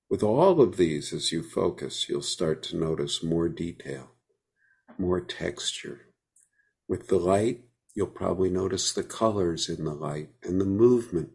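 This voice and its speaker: A man leading a guided meditation, speaking gently with unhurried pauses between phrases. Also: deep voice, creaky.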